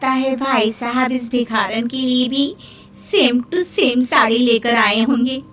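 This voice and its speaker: A woman's voice: on the high side, measured pace, a bit bright, faint music behind. A woman voicing a gossipy, mocking neighbourhood woman in a cartoon, with a needling, teasing manner.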